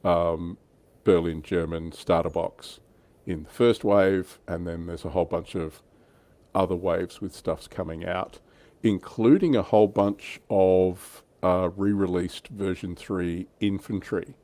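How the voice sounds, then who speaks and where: Low, mellow-voiced man hosting a chat. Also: steady tone, measured pace, no echo. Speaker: host and reviewer on a tabletop wargaming livestream. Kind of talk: casual panel chat.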